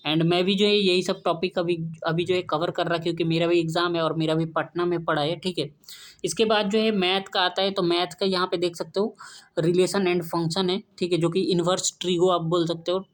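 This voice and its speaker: A man's voice: high-pitched, little pitch movement, normal speed. A young Indian man gives an informal exam-prep explanation, listing items one by one with frequent check-in tags and mixed-in English terms. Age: young adult.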